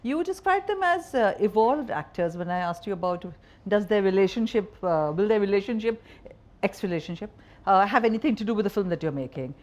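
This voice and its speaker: A woman's voice: medium pitch, animated, fairly quick, a little mellow. A woman with an Indian accent interviewing informally, asking direct, teasing questions in a conversational style. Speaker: journalist interviewer.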